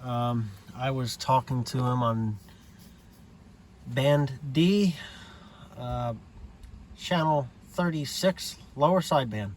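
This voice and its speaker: American male, hobbyist walkthrough, unhurried and halting delivery, casual and unscripted, slightly noisy home-video sound. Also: medium pitch. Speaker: amateur radio hobbyist giving a setup tour.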